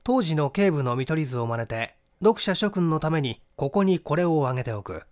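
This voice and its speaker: A man narrating a mystery novel in a theatrical, deliberate storytelling manner. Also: fairly high pitch, lively intonation, darkish tone. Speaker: audiobook narrator and stage actor.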